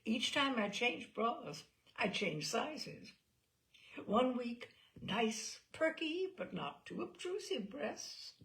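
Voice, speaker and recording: A woman giving an expressive, wry dramatic reading of a comic personal essay, with deliberate pauses and emphasis. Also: a little high. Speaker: reader of humorous essays.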